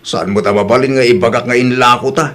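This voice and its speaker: A man's voice: medium pitch, fairly even intonation, quick pace, fairly bright tone, natural voice. Man acting older father, audio drama, northern Philippine accent, hesitant, defeatist, excuse-making. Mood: defensive.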